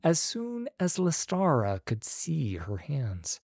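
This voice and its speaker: A man narrating an audiobook story in a measured, expressive way. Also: a little high, gravelly, dry recording, clean studio sound.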